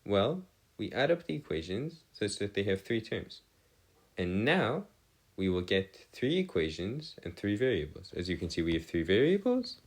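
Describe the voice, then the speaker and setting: A man giving a step-by-step math lesson as a tutor, halting and pausing to check himself, with formal, bookish wording. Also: on the low side, big pitch swings. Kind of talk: lesson, working through equations step by step.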